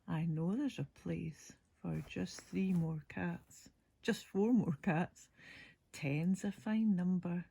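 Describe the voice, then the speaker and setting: A woman voicing a kindly, doting cat lady from a bedtime storybook, in a breathy, affectionate sing-song. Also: lowish voice, slow, warm tone, no room sound, exaggerated voice. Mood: doting, welcoming. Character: kindly, doting woman who lives with many cats. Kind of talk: bedtime storybook character reading.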